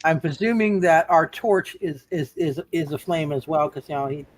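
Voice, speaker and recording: Man, fairly high voice, game master, casual tabletop game talk, halting and repetitive phrasing. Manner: halting, repeats words.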